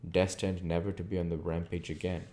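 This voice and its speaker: A man reading aloud as a narrator, in a measured, literary manner. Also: low-pitched, level tone, warm tone. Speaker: audiobook reader, channel host. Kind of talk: scripted narration of a classic novel.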